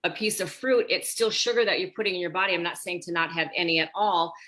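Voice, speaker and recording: Sixties female coach, lively group-call talker with a low voice and a bright, forceful edge. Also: fast. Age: 60s. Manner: animated, talks straight to the group as "you guys". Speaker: women's health coach. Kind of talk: casual group video call chat.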